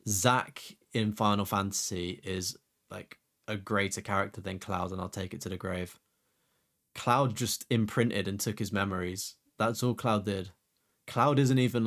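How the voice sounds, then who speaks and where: A man's voice: lowish voice, fairly even intonation, a little slow, fairly bright tone. Rambling young man streaming games. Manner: rambling, filler-heavy, casually swearing. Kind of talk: casual live-stream chat.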